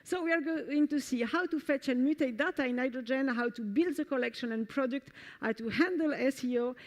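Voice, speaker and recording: A woman with a French accent presents a technical conference talk, walking the audience through a live code demo. She sounds enthusiastic and often restarts her sentences or fills pauses with "uh". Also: sits high. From France. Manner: enthusiastic, frequent fillers and restarts. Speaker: conference speaker. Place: conference stage before an audience.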